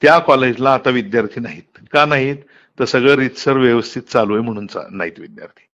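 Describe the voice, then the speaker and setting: A man's voice: medium pitch, fairly quick, fairly bright tone. Middle-aged man, emphatic and detailed explainer with English words mixed in, muffled call-recording sound. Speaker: college principal. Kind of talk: earnest explanatory phone conversation. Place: phone call.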